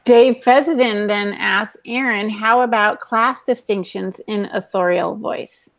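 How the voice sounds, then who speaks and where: A woman with a medium-pitched voice takes part in a casual panel chat. She acts as host, speaking conversationally as she passes questions along. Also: unhurried, creaky, fairly bright tone. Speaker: podcast host reading listener questions.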